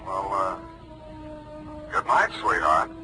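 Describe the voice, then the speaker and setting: An actor playing a cynical, blunt, wisecracking American private detective in 1940s radio drama style. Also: male voice, deep voice, lively intonation, slow. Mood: tipsy, familiar. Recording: vintage radio broadcast with music.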